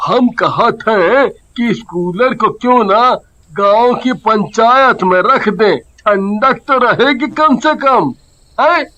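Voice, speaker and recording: A man voicing a teasing, excitable village fellow in an animated story, with an eastern Hindi rustic accent and a drawl. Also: very high-pitched, takes it slowly, lo-fi sound, heavily acted. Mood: scheming. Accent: eastern Hindi (Bhojpuri-Awadhi).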